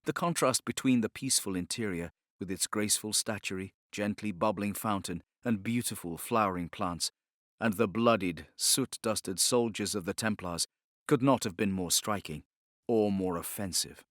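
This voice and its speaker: A man reading a novel as an audiobook narrator, in a measured, solemn, dramatic storytelling style. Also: fairly low pitch, fairly bright tone, no echo, studio quality.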